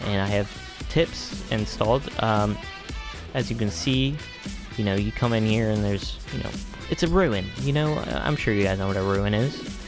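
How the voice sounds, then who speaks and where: A man with a fairly low voice hosts a casual game tour. He talks in a loose, hesitant ramble, drifting into vague asides and leaving thoughts unfinished. Faint music plays behind him. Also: normal speed. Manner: hesitant, filler-heavy, trailing off with vague asides. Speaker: gaming video host.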